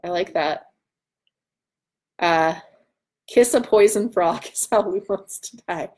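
A woman in her thirties hosting a casual live stream from home, loud, excitable and bantering as she answers comments. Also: on the low side, takes it slowly. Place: room at home by a large window.

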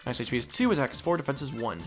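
Guy doing a lets play, goofy rambly chat, average pitch, game music under him. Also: very animated, very fast. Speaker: let's play host. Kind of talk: casual gameplay commentary. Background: video game music.

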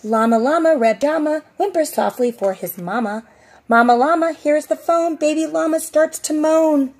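A middle-aged woman, a preschool teacher, reading a storybook aloud to young children in an expressive, sing-song way with drawn-out phrase endings. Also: sits high. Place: outdoors on a covered porch.

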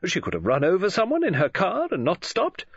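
A male narrator plays a fussy, imaginative middle-aged woman in an audiobook. The voice leaps high and swings wildly up and down as it gushes and exclaims. The recording is a little dull. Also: huge pitch swings, normal speed, breathy edge, no room sound, full character voice. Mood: excited, speculating. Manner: gushing, exclamatory, swooping emphasis.

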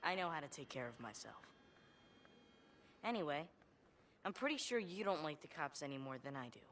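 A male actor playing a scrappy American teenage pickpocket in a video game, cocky, guarded and sharp-tongued. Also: a little high, big pitch swings, a little fast, slight background noise. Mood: cocky, defiant. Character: defiant, scrappy, street-smart teenage boy pickpocket. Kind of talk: cinematic video game acting.

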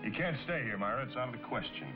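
A man's voice: medium pitch, animated, fast talker, natural voice. An actor playing a stern, bitter middle-aged American businessman in a 1950s television drama, curt and accusing. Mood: stern, cold. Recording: dull vintage TV soundtrack, loud music behind.

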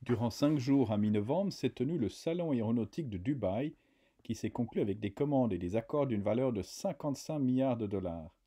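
A man reading a scripted news voice-over in a measured way. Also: medium pitch, lively intonation, dark tone, husky. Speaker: narrator.

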